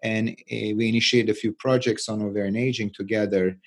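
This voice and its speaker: A middle-aged man with a Turkish accent, giving unscripted interview answers as a scientist, halting and often repeating words. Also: fairly low pitch, steady tone. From Turkey. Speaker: interview guest, research scientist and physician.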